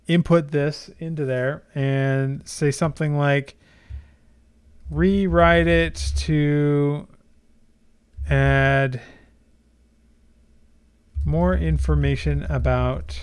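A middle-aged man hosting a casual software tutorial, explaining conversationally and thinking aloud as he goes. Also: medium pitch, clean tone. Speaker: tutorial host.

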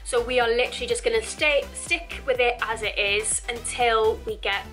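Chatty bright-voiced young woman vlogger. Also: fairly high pitch, moderate pace, soft background music. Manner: chatty, upbeat, rambling with restarts. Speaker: home renovation vlogger. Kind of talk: casual Q&A vlog. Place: indoors at home, virtual backdrops.

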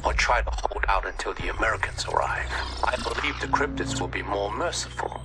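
Cold, formal, low-voiced dealer, a male actor. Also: animated, whispered, over loud music, close to an everyday voice. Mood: dismissive. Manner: formal, measured, unyielding. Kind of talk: dramatic game cutscene dialogue.

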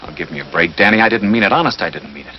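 A man's voice: low voice, lively intonation, racing. A man playing a nervous, defensive suspect in a 1950s television crime drama, protesting in agitation. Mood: panicked pleading. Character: nervous, defensive male suspect cornered by police. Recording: dull 1950s TV soundtrack, faint noise.

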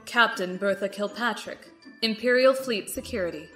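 A woman's voice: mid-range voice, moderate pace, a bit bright, faint music behind, natural voice. A woman playing a formal, official military security captain in an audio drama.

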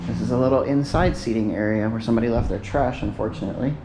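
A man's voice: medium pitch, fast talker. Upbeat male vlogger, 40s, handheld action cam outdoors, heavy wind noise. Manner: chatty, upbeat, narrates as he films. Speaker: travel vlogger. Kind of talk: casual walking tour vlog. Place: outdoor train platform on a sunny day. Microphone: action camera held at arm's length.

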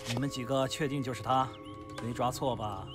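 一名男演员在广播剧中饰演粗鲁嚣张、满口脏话、爱讥讽人的黑帮绑匪头子。. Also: male voice, mid-range voice, steady tone, normal speed, lightly acted. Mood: menacing. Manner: sneering, foul-mouthed, taunting. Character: crude, swaggering male gang boss, kidnapper with a grudge. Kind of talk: Chinese radio drama acting. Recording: music behind the voice.

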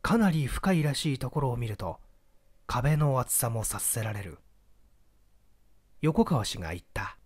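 A man's voice: medium pitch, lively intonation, a little slow, long pauses, husky, no echo. A man narrating a mystery audiobook in a dramatic, theatrical storytelling manner.

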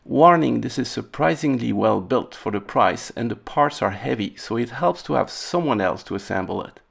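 Man with a slightly gravelly, wry delivery. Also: medium pitch, expressive, moderate pace, a bit bright. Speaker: host of electronics restoration video channel. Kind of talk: casual narrated demonstration.

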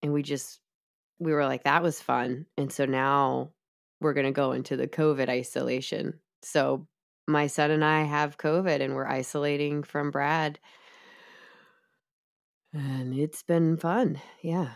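A woman with a hoarse, tired voice, chatting casually as a podcast co-host and laughing often. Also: sits low, fairly even intonation, a little slow. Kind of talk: casual chat.

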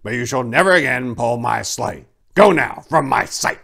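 A man's voice: medium pitch, expressive, normal speed, vocal fry, bright, forceful tone, exaggerated voice. An indignant Santa Claus, acted out by a man, laying down the law with pompous authority. The voice swoops widely as he issues stern, bossy commands in a storybook tale. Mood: indignant, commanding.

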